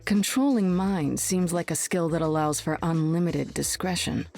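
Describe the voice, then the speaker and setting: A woman's voice: lowish voice, moderate pace, gravelly, a little mellow, soft background music. A woman playing a cool, dry, confident spy in a video game. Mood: cool, wry. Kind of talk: video game character dialogue.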